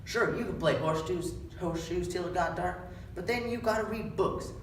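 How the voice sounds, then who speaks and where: A man's voice: high-pitched, normal speed, voice pushed up, natural voice. A young man playing a lonely, bitter, taunting stable hand in a readers' theatre reading, with needling persistence and rural dialect. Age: young adult. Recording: echoey room, distant mic, background noise.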